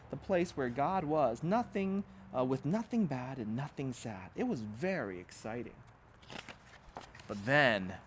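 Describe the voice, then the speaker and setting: A man's voice: mid-range voice, a little hiss or hum. A man reading a children's storybook aloud as a pastor, expressively and dramatically, with simple emphatic phrasing and asides to young listeners.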